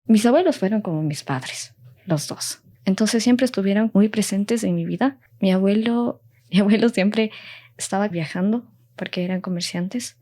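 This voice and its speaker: A woman's voice: medium pitch, normal speed, warm tone. A young adult woman speaking in an interview, casual and heartfelt, with lots of fillers. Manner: chatty, heartfelt, many fillers.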